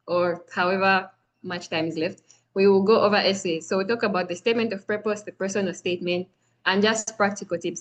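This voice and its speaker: Young woman, from Zambia, online workshop host, friendly, chatty, video call. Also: mid-range voice. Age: young adult. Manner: friendly, chatty, frequent fillers. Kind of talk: online presentation.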